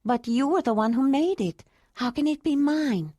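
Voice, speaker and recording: A woman voicing an emotional young woman in an audiobook, raising her voice into a higher, heartfelt tone. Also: animated, normal speed, warm tone, no echo, studio quality. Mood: tearful.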